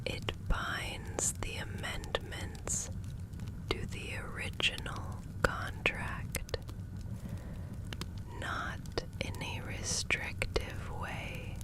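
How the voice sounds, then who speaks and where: Woman playing a whispering, very slow magical consultant. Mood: calm, careful. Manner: slow, deliberate, soothing, measured phrasing. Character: serene, gentle female magical law consultant, soft-spoken and careful. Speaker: ASMR roleplayer. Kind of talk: whispered ASMR fantasy roleplay.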